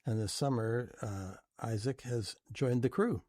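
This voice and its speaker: Relaxed male radio host, dry studio sound. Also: mid-range voice, no room sound. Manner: relaxed, with frequent "uh" pauses. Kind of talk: radio talk show hosting.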